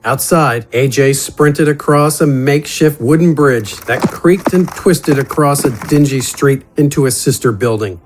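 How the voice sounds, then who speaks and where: A man's voice: medium pitch, a little slow, hardly any pauses. A man reading scripted fantasy adventure narration in a dramatic storytelling style. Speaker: narrator and voice actor. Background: music.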